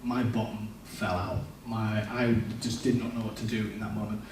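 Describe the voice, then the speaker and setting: A man's voice: fairly low pitch, flat delivery, moderate pace, a little mellow, slight background noise. A young man giving a candid, self-deprecating personal testimony in a church, with frequent restarts. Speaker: church speaker. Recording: echoey church hall, mic far off.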